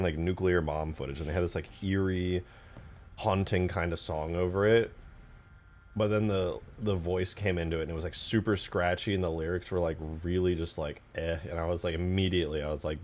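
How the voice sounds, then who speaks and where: Deep-voiced guy streaming casually. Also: fairly quick. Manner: informal slang, conversational, self-deprecating. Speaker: streamer, game modder. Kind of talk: casual livestream chat, answering viewers. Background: background music.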